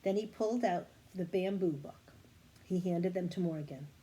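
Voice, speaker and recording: A woman's voice: lowish voice, normal speed, dark tone, slightly rough, poor audio. A woman reading a children's storybook aloud expressively, now and then correcting a stumble. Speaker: story reader.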